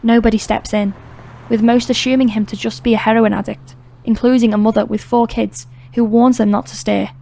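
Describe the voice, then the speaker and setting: Flat, creaky woman narrator. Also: a little high, a little fast. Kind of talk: scripted audiobook narration.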